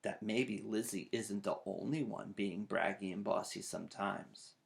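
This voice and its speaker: A man voicing a patient mother bear who reasons gently in a storybook. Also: lowish voice, steady tone, gravelly, voice pushed down. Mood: calm. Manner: quiet, measured.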